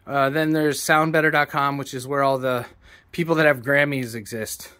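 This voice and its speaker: A man's voice: mid-range voice, steady tone, normal speed, bright, forceful tone. A man in his forties, a songwriter and producer, explaining his process in a casual vlog, rambling and correcting himself, recorded on a phone held at arm's length in a small room. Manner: rambling, self-correcting, plain-spoken.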